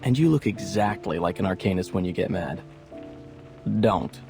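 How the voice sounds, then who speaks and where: A man's voice: deep voice, lively intonation, moderate pace, gravelly, a little mellow, faint music behind. A man voicing a taunting, smug young student who needles people in a mocking way, in a video game drama.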